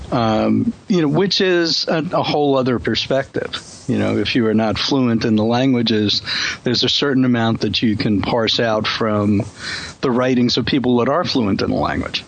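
A man's voice: mid-range voice, a little slow, vocal fry, poor audio. A man hosting a casual discussion, speaking thoughtfully with frequent ums and you-knows. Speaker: host.

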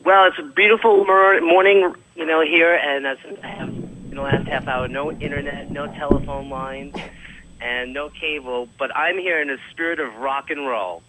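A man's voice: fairly high pitch, steady tone, moderate pace, a crowd in the background. A male songwriter calling in to a radio show by cell phone, laid-back and joking. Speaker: guest songwriter. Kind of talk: casual radio interview chat. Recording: noisy cell phone line.

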